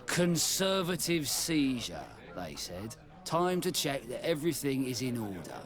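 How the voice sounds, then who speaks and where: An actor voicing a plain-spoken, exasperated tavern keeper in a video game, expressive and grumbling. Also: male voice, mid-range voice, a little slow.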